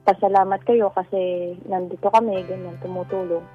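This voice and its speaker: A woman's voice: medium pitch, fairly even intonation, brisk. A Filipino woman calling a radio advice show, telling her personal story conversationally in a polite, deferential way. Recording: dull lo-fi call audio over music.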